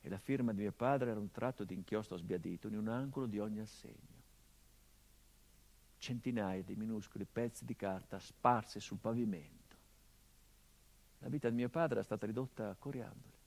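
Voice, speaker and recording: A bitter, haunted grieving son. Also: male voice, normal speed, vocal fry. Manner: bitter irony, shifting from loud outbursts to low hushed tones. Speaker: stage actor. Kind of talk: theatrical monologue.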